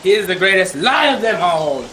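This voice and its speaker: A proud sea captain played by a young man in an old-style radio play. The voice sits high for a man and declaims in archaic, theatrical phrases. The recording carries plain noise behind it. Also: sing-song, normal speed, pushed and bright, heavily acted. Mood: scornful. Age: young adult. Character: defiant blaspheming sea captain, proud, raging, later pleading. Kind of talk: old-time radio drama acting.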